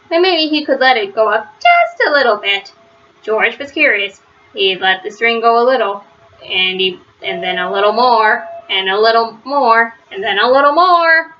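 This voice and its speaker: A woman reading a children's storybook aloud in an expressive way, with drawn-out emphasis. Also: fairly high pitch. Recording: dull, lo-fi sound.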